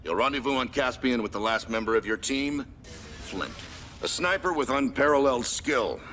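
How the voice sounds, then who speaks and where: An actor playing a stern military commander in a video game, giving measured, authoritative mission briefings. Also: male voice, mid-range voice, animated, fairly bright tone, a little hiss or hum, natural voice. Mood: grave. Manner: measured, authoritative, matter-of-fact.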